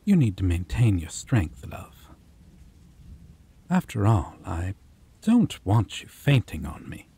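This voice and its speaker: A man playing a slow, purring possessive vampire. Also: very animated, gravelly, husky, heavily acted. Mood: savoring. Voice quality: low, slow, purring delivery with soft chuckles. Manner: teasing and intimate, drops to whispers. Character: possessive predatory male vampire, teasing and doting. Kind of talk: ASMR roleplay.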